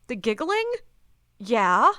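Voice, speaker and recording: A woman's voice: a little high, very animated, slow, sharp tone, no room sound, studio quality, full character voice. A woman playing a jumpy, annoyed young friend in an audio play. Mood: uneasy.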